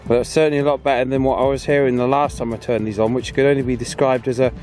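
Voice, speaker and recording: A man's voice: medium pitch, level tone, rapid-fire, darkish tone, faint music behind. A man talking casually and informally outdoors as a vlog host, explaining a radio hobby in an encouraging way. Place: outdoors on a walk.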